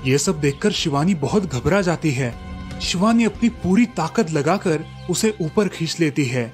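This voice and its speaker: Guy narrating a kids cartoon story, slightly high voice, soft music behind. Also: a little slow. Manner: steady storytelling delivery.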